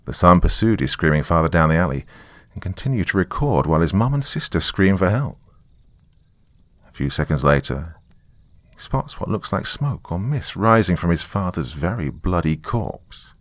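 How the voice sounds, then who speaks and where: Male narrator, low-pitched voice, highly varied intonation, first-person horror storytelling, wry conversational delivery. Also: expressive, moderate pace, dry recording.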